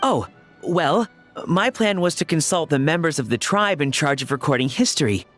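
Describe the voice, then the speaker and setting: A man's voice: fairly high pitch, expressive, normal speed, a bit bright, soft background music. An actor playing a mild, helpful, deferential young man in a video game. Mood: hesitant, helpful.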